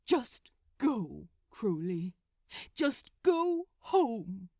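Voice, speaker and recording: A woman's voice: on the high side, big pitch swings, drawn-out words, darkish tone, no echo, studio quality, exaggerated voice. A woman voicing a wounded, reproachful male angel in a dramatized story reading. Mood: pained, resigned. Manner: reproachful, pained. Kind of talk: dramatized fan fiction reading.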